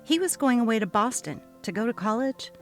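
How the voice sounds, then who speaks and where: A woman's voice: on the high side, very animated, a little fast, soft background music. A woman giving a dramatic reading of a story told in dialogue. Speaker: narrator of short stories.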